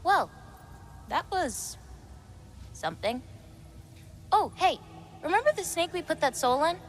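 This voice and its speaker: A woman's voice: high-pitched, big pitch swings, measured pace. A young woman playing a playful, teasing giantess in a video game, bold and wry in manner. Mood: animated, uneasy. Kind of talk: naturalistic video game acting.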